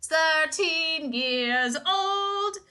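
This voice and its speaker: A woman co-hosting a casual podcast, chatting with playful giggles and reading a book aloud with lively emphasis. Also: very high voice, extremely slow.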